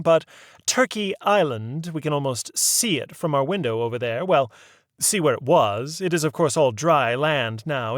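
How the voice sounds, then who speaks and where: A fussy, genteel older lady with a high, fluttering voice that swoops up and down, as a male reader puts her on. She is prim and sweetly proper, and it sounds close and dry. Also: no echo.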